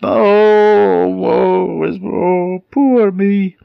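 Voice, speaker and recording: A man voicing a beaten, self-pitying goat with melodramatic, drawn-out moans in a told folk tale. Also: on the low side, level tone, vocal fry, breathy edge, no echo, muffled recording, exaggerated voice. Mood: wailing, self-pitying. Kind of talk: oral folk storytelling.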